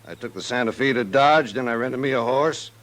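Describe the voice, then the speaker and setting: An American actor playing a pushy, excuse-making deputy sheriff in an old-time radio western. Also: male voice, medium pitch, a little fast, a bit bright, lightly acted. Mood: pushy, officious. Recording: noisy old radio recording with clicks.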